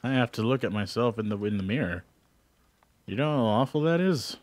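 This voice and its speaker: A man's voice: mid-range voice, lively intonation, a little fast, no echo. A man chatting on a game stream, joking a lot and laughing at himself. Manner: joking, laughs often, self-deprecating. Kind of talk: casual gaming chat.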